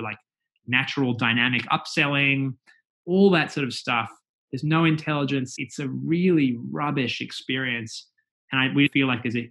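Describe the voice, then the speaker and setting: A man's voice: mid-range voice, a bit bright. A man with an Australian accent, a company executive talking as a guest in a casual interview, chatty and full of fillers and restarts.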